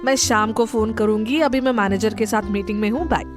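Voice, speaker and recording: A woman's voice: medium pitch, brisk, music in the background, natural voice. A woman playing a young, busy, matter-of-fact city wife in a cartoon comedy story. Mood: businesslike.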